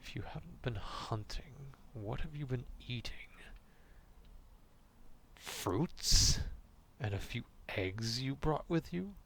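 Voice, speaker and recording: A man acting out a gruff, proud, distrustful werewolf in an ASMR roleplay, stern and curt but grudgingly caring, in a gravelly half-whisper. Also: medium pitch, expressive, slow pace, fairly bright tone, slight background noise, pitched above the performer's own voice, low-quality recording. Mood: curt, irritated.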